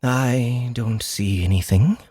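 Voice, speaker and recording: A man's voice: medium pitch, slow, mellow, slightly rough, no echo, studio quality, voice pushed up. A man voicing a nervous, deferential human official in an audiobook, anxious and placating. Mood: placating, evasive. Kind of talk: audiobook character acting.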